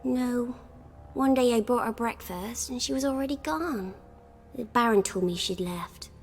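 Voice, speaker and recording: A woman's voice: fairly high pitch, a little slow, soft background music. A woman voicing an earnest little village girl in a fantasy game, speaking simply and candidly. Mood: wistful, earnest. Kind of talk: video game voice acting.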